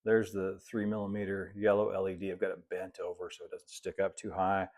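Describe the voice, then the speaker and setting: A man, narrating a hands-on hobby tutorial in a relaxed, folksy way, as if thinking aloud while he works. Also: low voice, brisk. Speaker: hobbyist presenter of model railroad build videos.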